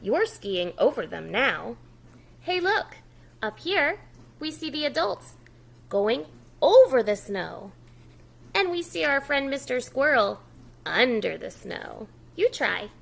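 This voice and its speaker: Animated woman reading aloud to preschoolers. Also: sits high, slow, creaky. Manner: instructional, addressing young children, repeating key words with prompts and praise. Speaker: preschool educator reading a picture book to children. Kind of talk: children's read-aloud lesson with call-and-response prompts.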